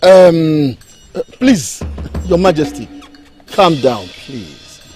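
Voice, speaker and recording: A man's voice: fairly high pitch, lively intonation, drawn-out words, a little mellow, soft background music. A man playing a nervous court chief. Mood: placating. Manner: hesitant, placating. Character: nervous male court chief pleading for calm.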